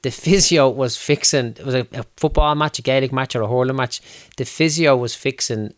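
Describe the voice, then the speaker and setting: A man's voice: medium pitch, a little fast, dry recording. Dublin guy chatting away on a podcast, laughs a lot, dead dry sound. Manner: chatty, swears, laughs easily. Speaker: podcast host. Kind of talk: casual interview chat.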